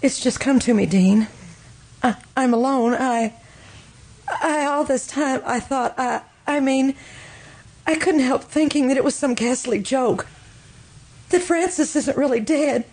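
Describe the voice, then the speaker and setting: An actress playing a fragile, grief-stricken widow in an American radio drama, dreamy and halting. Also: female voice, high-pitched, a little mellow. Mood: breaking into grief. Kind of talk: old-time radio drama acting.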